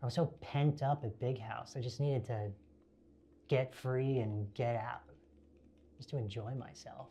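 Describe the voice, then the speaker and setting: Mellow, low-key lone survivor played by a man. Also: fairly even intonation, measured pace, close to an everyday voice. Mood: relieved, reflective. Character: lone male survivor filming himself, weary but easygoing. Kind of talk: found footage horror monologue, casual vlog-like narration.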